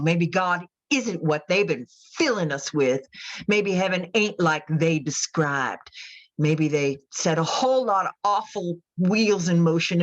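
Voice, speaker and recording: A woman playing a haunted Southern mother in a radio drama monologue, telling her confession in a folksy, intense, deliberate way. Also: lowish voice, dull audio. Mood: bitter, resigned.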